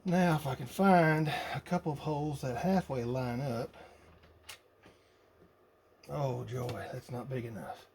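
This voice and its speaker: A man's voice: medium pitch, steady tone, measured pace, pauses often. A man casually narrating a hands-on build, muttering and thinking aloud as he works. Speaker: hobbyist radio builder. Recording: noisy workshop recording with clicks.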